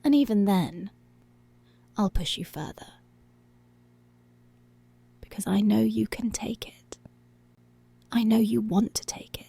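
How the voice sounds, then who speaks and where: A woman playing a dominant, teasing, seductive policewoman in an intimate ASMR roleplay, with a breathy, whispery voice and slow, deliberate, commanding delivery. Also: mid-range voice, dark tone, no room sound, heavily acted. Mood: teasing, controlling. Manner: slow, deliberate, controlling, drawn-out phrases.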